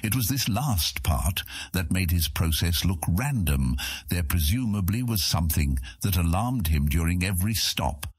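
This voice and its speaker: A man narrating a scripted fantasy audiobook in a measured storytelling manner. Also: sits low, lively intonation, husky, no echo, studio quality.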